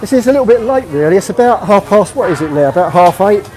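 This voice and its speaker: A man's voice: high voice, animated, fast, a little mellow, a little hiss or hum. A middle-aged man from England, chatting casually on a live-stream walking tour of a street market. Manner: chatty, friendly, narrating while walking. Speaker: vlogger.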